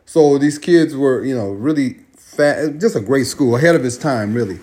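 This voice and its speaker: A man's voice: medium pitch, expressive, normal speed, darkish tone. Rambling American man, casual voice note. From United States. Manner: rambling, repeats phrases, self-corrects. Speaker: traveler sending a voice message. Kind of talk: casual spoken monologue. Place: Australia.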